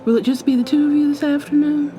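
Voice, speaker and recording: A woman's voice: high voice, fairly even intonation, fast talker, mellow, faint music behind, voice pushed up, lightly acted. A woman voicing a friendly, chirpy attendant at an aquarium ticket desk in an ASMR roleplay, with a bubbly customer-service manner. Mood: bubbly, welcoming.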